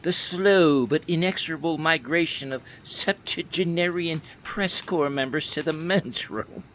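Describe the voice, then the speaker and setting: An actor playing a brash younger 1920s sportswriter with a sarcastic, needling manner. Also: male voice, a little high, unhurried, a bit bright. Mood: mocking.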